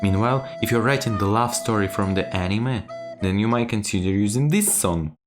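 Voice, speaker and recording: A man's voice: fairly low pitch, fairly quick, music in the background. A man narrating a tongue-in-cheek video tutorial in a playful, mock-serious, emphatic way. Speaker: video creator giving sarcastic game-making tips.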